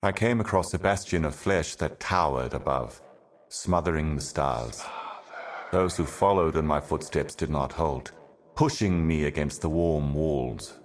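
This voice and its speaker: A super deep ancient video game herald, grave and weary, slow cryptic doom monologue. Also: male voice. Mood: grave, brooding. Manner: grave, deliberate, ominous, poetic.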